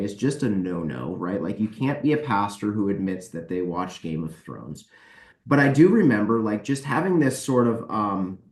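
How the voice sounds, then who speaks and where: Echoey, low-pitched man talking casually. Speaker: podcast guest, author and editor. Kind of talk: casual podcast discussion. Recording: roomy, echoey voice pickup.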